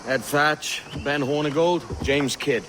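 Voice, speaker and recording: An actor playing a cocky, ambitious young pirate captain in a video game, self-assured and dryly teasing. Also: male voice, on the high side, slow, noisy background. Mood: calm, confident. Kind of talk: video game cutscene acting.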